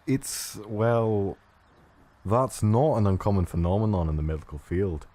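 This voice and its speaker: A man's voice: lowish voice, unhurried, dark tone. A man playing a medical researcher in a fan audio drama, conversational and hesitant, with wry asides and an eagerness to help. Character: male medical researcher, eager, mildly irreverent, ready to help.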